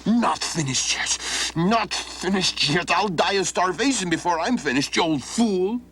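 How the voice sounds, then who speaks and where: A man's voice: a little high, big pitch swings, a little fast, slightly rough, voice pushed up. A man voicing a cheerful young farmhand who turns hot-tempered, with a folksy way of talking. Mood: angry. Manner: folksy storytelling speech.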